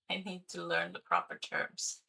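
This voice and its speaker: Slow, gravelly woman streamer. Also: lowish voice, monotone, bright, forceful tone, rough recording. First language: Swedish. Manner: chatty, thinking aloud, hesitant with fillers. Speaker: game streamer. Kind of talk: casual livestream commentary while playing.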